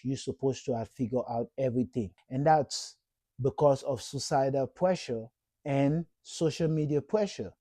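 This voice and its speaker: A man's voice: medium pitch, warm tone, no room sound, clean studio sound. A man in his thirties from Cameroon, giving an earnest, encouraging motivational talk to camera and repeating points for emphasis. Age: 30s. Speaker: vlogger.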